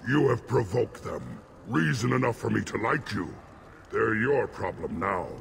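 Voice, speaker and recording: A man playing an ancient, imperious alien shaman in a video game, solemn and commanding, with a gravelly voice. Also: lowish voice, expressive, a little slow, a little hiss or hum, full character voice. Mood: stern, measured. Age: older. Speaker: clan shaman. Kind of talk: video game cutscene acting.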